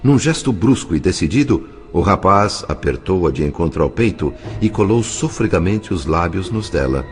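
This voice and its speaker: A low-voiced Brazilian man reads as the narrator of an audio drama. His delivery is scripted, literary and measured, with soft music behind him. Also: animated.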